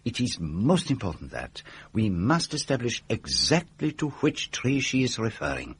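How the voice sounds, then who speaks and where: An actor playing a methodical foreign private detective in a radio drama, speaking in a precise, deliberate, formal way. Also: male voice, medium pitch, wildly swooping pitch, husky, full character voice. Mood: precise, advising. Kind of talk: British radio drama acting.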